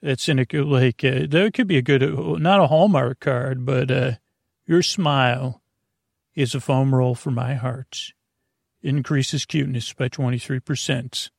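A man hosting a bedtime podcast in a meandering monologue, halting and digressive, often trailing off and restarting. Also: mid-range voice, no room sound, studio quality. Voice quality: creaky, gravelly. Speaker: podcast host.

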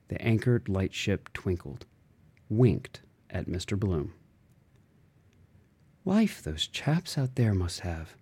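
A man reading a literary novel aloud in a measured, expressive way with dramatic phrasing. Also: lowish voice. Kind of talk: literary audiobook narration.

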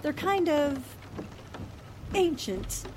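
A woman acts a good-natured, sensible newspaperwoman in a forties-style radio drama. Her voice is high, with a mild, agreeable delivery. Rain and car noise are clearly heard behind her. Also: very animated, extremely slow, heavily acted. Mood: mild, rueful. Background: rain and vehicle sounds.

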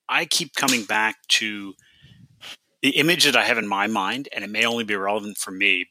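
A man calls in to a podcast with a casual, thoughtful message, circling back over his phrases. His voice is very bright and forward, on the low side, in a dry recording. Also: dry recording, low-quality recording. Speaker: podcast call-in listener.

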